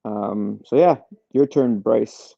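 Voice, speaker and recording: A man's voice: fairly low pitch, slow, creaky, muffled recording. A man, casually introducing himself as an athlete guest. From Canada. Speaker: college wheelchair basketball player, guest.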